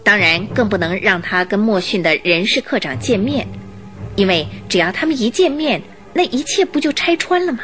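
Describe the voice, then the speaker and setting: Casting: female narrator for radio mystery drama; lively intonation, dramatic storytelling, faint music underneath. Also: medium pitch, moderate pace. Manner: expressive, suspenseful, rhetorical questioning.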